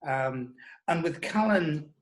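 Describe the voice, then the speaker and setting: A man answering as an interview guest, reflecting in a thoughtful, halting and emphatic way. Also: a little high, expressive, low-quality recording.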